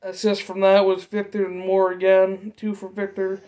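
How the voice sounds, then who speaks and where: A young man giving a casual game recap from notes, speaking haltingly and stumbling over names and figures. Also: sits high, completely flat delivery, darkish tone, low-quality recording. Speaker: hockey fan vlogger. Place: dim bedroom, seated at desk.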